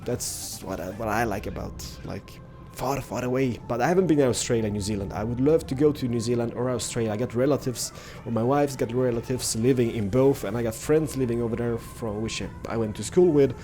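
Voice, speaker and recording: A man's voice: mid-range voice, fairly quick, warm tone, soft background music. Rambling young man. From Sweden. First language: Swedish. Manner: rambling, with many "like" and "stuff like that". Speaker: gaming youtuber. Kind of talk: casual gameplay commentary.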